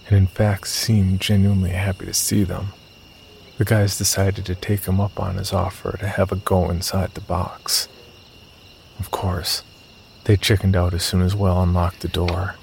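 A man narrating a scripted first-person horror story in a measured storytelling delivery. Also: low-pitched, vocal fry, darkish tone, slight background noise.

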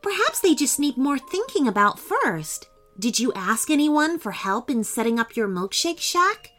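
A woman voicing a kind, curious mermaid in a children's story, gentle and encouraging. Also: high voice, big pitch swings, a little slow, faint music behind, voice pushed up, heavily acted. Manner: bright, gentle, inquisitive.

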